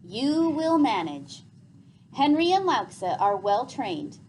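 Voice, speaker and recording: A woman's voice: on the high side, big pitch swings, slow, darkish tone. A woman voicing a steadfast woman warrior from a storybook, firm and formal. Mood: resolute. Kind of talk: storybook character reading. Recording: faintly noisy classroom recording.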